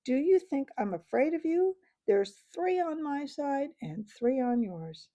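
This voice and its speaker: A woman's voice: high voice, animated, a little slow, highs cut off, voice pushed up. Woman as a bitter, snapping dwarf man. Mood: defiant. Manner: bitter, snapping, rising to shouts. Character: angry defiant male dwarf.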